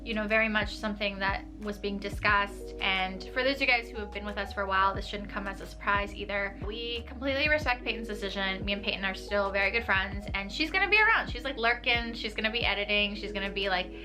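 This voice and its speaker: A woman's voice: mid-range voice, fast, few pauses, music in the background. A young woman, talking casually to camera from her bedroom, often saying "like" and laughing lightly. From New York. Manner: chatty, uses "like" a lot, laughs lightly. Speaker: vlogger. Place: small bedroom with desk and tapestry, indoors.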